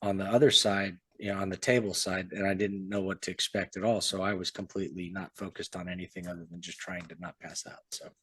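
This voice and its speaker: A man's voice: low voice, little pitch movement, fast talker, hardly any pauses, fairly bright tone, a little hiss or hum. A man, an author co-hosting a podcast, chatting casually and rambling, often trailing off with "so". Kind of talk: casual chat.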